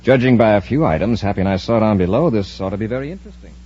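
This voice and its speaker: An actor playing a calm, authoritative space patrol commander in a 1950s radio serial style. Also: male voice, fairly low pitch, rapid-fire, husky. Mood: politely firm. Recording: muffled, noisy old-time radio recording.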